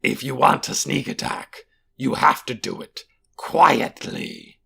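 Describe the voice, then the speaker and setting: Fantasy audiobook monster guardian: very gravelly, forceful, proud and coldly disdainful, formal delivery. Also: male voice, mid-range voice, heavily acted. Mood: scornful, measuring. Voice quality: raspy, gravelly, harsh. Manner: deliberate, haughty, formal. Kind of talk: audiobook character voice.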